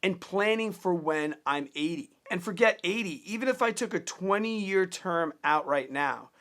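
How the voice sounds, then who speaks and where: A man's voice: sits high, lively intonation, moderate pace, fairly bright tone. Earnest plainspoken man in his thirties. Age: 30s. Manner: earnest, plainspoken, repeats words when restarting. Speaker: personal finance vlogger. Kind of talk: casual direct-to-camera advice.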